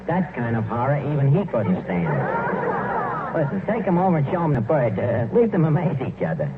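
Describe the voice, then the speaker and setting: An actor as a wisecracking New tavern manager, old radio. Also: male voice, medium pitch, very animated, brisk, over a noisy crowd, close to an everyday voice. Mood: dry, bossy. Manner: malapropisms and hesitant filler-laden wisecracks. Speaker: comedy show lead. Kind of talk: 1940s radio sitcom acting. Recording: scratchy narrow-band 1940s radio, loud audience.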